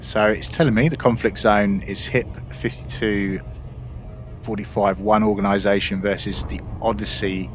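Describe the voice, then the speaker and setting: Guy chatting through his gameplay, lowish voice, kinda muffled sound. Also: fairly even intonation, normal speed. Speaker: gaming video creator. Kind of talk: casual gameplay commentary. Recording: dull audio, faint game noise. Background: spaceship game ambience.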